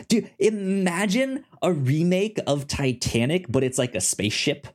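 A man talks as a podcast co-host in a relaxed, unhurried chat. His pitch moves around a great deal, and his phrasing is halting, often restarting mid-thought. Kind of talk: casual movie review chat.

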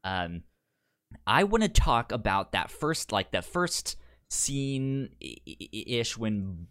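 A man with a fairly low voice talks casually about film as a podcast co-host. His delivery is halting, with syllables and words often repeated as he works through a thought. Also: very animated, fairly bright tone.

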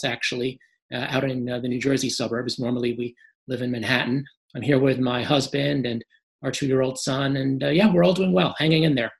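A hoarse man who gets short of breath, answering interview questions with many "uh" fillers. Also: mid-range voice, low-quality recording. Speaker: guest.

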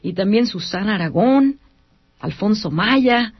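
A woman with a mid-range voice talks with lively energy and drifts easily between English and Spanish. The recording is dry and close, with the dull sound of old lo-fi radio. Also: medium pitch, normal speed, husky, no echo. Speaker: radio drama cast member. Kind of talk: scripted community radio drama.

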